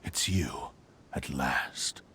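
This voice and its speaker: A man's voice: drawn-out words, bright, forceful tone, in a whisper, exaggerated voice. A man playing an ominous, menacing swordsman possessed by a cursed blade in a video game dub. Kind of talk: English game dub voice acting. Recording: rumbling noise behind the voice.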